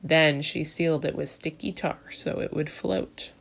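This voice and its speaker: Slow, low-voiced woman reading to children. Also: steady tone, vocal fry, background noise. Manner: deliberate, rhetorical questions posed to young listeners. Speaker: children's storytime reader at a church. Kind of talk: read-aloud children's Bible story with asides.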